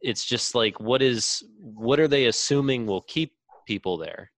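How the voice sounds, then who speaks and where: A man's voice: medium pitch, unhurried, a bit bright, no room sound. A young man in a casual podcast debate, speaking as an opinionated co-host who swears freely.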